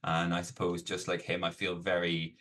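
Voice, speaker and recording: Middle-aged northern irish guy, really low voice, talks it through slow and careful, lots of ums. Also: monotone, a bit bright. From Northern Ireland. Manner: measured, explanatory, many fillers. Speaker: guest academic. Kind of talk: podcast interview.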